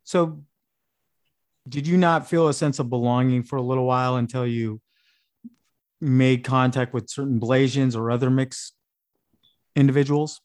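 A man's voice: medium pitch, fairly even intonation, moderate pace, pauses often, darkish tone. A man interviewing a guest, building long, probing questions. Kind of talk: conversational interview.

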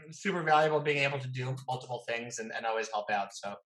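A man interviewing a guest over a video call, asking relaxed, friendly questions. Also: mid-range voice, quick pace, rough recording.

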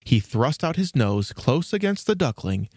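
A man's voice: mid-range voice, big pitch swings, moderate pace, darkish tone, no room sound, studio quality. A man reading scripted storybook narration as the narrator of a fairy tale.

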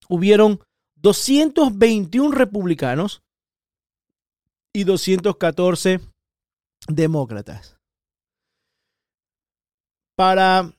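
A middle-aged man from Ecuador with an Ecuadorian Spanish accent, hosting an opinionated political podcast in an emphatic, repetitive way with sudden high stresses. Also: a little mellow, smooth, no room sound, clean studio sound. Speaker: podcast host.

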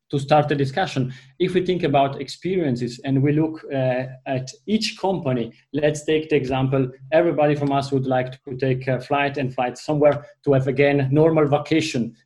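A man with an Italian accent whose first language is Italian, speaking as a guest in a business discussion and explaining his points through long, halting examples. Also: mid-range voice, darkish tone. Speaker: panel guest.

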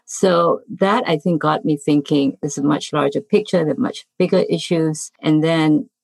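Casting: woman from Singapore, very low voice, dry close recording, reflective panelist. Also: steady tone, moderate pace, creaky, no room sound, low-quality recording. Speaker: panelist, nutrition expert. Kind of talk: panel discussion.

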